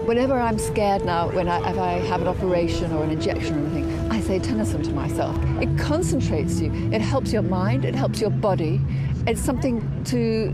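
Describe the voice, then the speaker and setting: A woman speaking earnestly about learning poems by heart, slowing right down when she recites. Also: mid-range voice. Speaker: poet guest. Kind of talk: reflective talk and poem recital.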